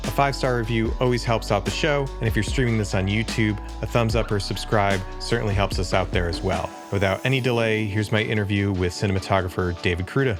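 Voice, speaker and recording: A man hosting a podcast interview, speaking in a relaxed, conversational way from the United States, close to the mic. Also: fairly low pitch, quick pace, hardly any pauses, over music. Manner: relaxed, conversational, a few restarts. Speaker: podcast host. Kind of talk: casual interview.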